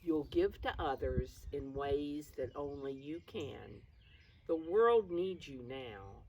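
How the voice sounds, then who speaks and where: A woman's voice: low-pitched, darkish tone. A mature woman reading a picture book to preschoolers as their teacher, explaining it simply and encouragingly as she speaks directly to them. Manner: encouraging, addresses young children directly. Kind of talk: children's read-aloud with simple explanations. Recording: distant mic with faint background noise.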